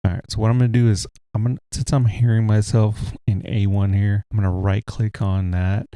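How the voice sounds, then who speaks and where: A man with a deep voice giving a relaxed, rambling tutorial from home, talking into a desk mic without a pop filter. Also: fairly quick, dry recording. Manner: relaxed, rambling explanations with fillers. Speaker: tech tutorial host. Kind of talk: casual screen-recorded tutorial. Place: home room with a heater running. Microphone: USB desk mic without a pop filter.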